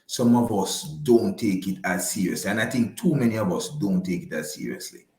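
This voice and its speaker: Low-voiced man in an echoey room, speaking haltingly. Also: lively intonation, a little mellow. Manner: halting, repeats words while forming points. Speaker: guest financial advisor. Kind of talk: conversational interview answers on faith and finances.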